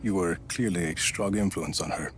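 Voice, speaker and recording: A man's voice: low-pitched, normal speed, soft background music. An actor playing a tough, laconic male action hero in a video game cutscene. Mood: calm, wry.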